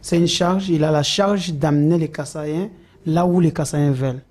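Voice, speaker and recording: A man's voice: a little high, steady tone, moderate pace, a little mellow. A man answering interview questions, hesitant and full of "euh" fillers and restarts. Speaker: political supporter interviewed as guest.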